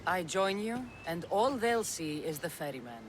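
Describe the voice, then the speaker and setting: An actress playing a tough, defiant warrior in a video game, earnest and protective, with a direct, dramatic delivery. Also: female voice, fairly low pitch, animated, moderate pace, slight background noise, close to an everyday voice. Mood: confident. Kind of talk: video game cutscene acting.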